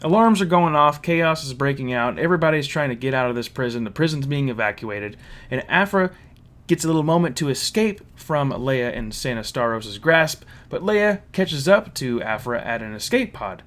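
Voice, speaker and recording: A man casually recapping a story as a reviewer, with an animated manner and mocking asides. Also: mid-range voice, normal speed. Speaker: reviewer hosting a fan recap. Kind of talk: casual spoken plot recap and commentary.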